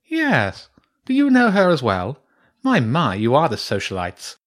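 An eccentric badger priestess from a storybook, played by a man, pitched far up and swinging wildly in tone. She is haughty and theatrical, given to grumbling and exclaiming. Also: wildly swooping pitch, measured pace. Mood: scornful, curious. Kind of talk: character voice in a children's audiobook.

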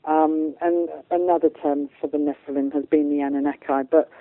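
A woman's voice: sits low, fairly even intonation, moderate pace, no room sound. A woman guest explaining spiritual beliefs in an informal, rambling way, often checking that she is followed. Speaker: guest medium and channeller. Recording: narrow, phone-like line.

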